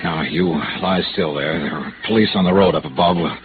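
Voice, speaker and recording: An American actor playing a tough but compassionate investigator in 1950s radio noir, speaking in a terse, measured way. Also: male voice, sits low, lightly acted. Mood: steady, reassuring. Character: tough but compassionate private insurance investigator, consoling a friend. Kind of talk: 1950s radio noir acting, narration and dialogue. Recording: dull vintage radio recording, loud dramatic music.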